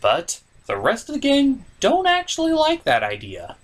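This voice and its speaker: A man's voice: very high voice, wildly swooping pitch, normal speed. A man hosting a comedic review, narrating a recap in a theatrical way with playful asides. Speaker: host of a puppet show review series.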